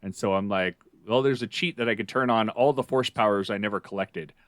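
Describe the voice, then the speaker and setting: A middle-aged man co-hosting a podcast, chatting casually in a halting, rambling way. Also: on the low side, a bit bright, no echo, studio quality. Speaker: podcast co-host.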